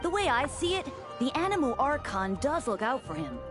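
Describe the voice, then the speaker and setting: A woman voicing a courteous, gently teasing young deacon, devout and polite, in a video game over music. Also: high voice, big pitch swings, moderate pace. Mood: breezy.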